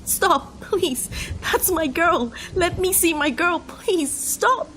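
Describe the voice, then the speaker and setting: A man voicing a distraught young man who shouts and pleads desperately in a dramatic audio story. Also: extremely high pitch, expressive, takes it slowly, a little hiss or hum, pitched above the performer's own voice, exaggerated voice. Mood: desperate, anguished. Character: distraught young man screaming for his girlfriend. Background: music.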